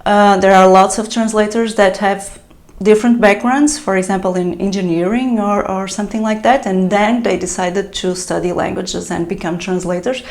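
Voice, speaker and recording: A woman's voice: medium pitch, steady tone, moderate pace. A woman whose native language is European Portuguese, a translator explaining tips in a casual vlog, with frequent fillers and restarts. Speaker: translator giving business advice. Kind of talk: solo talking-to-camera vlog.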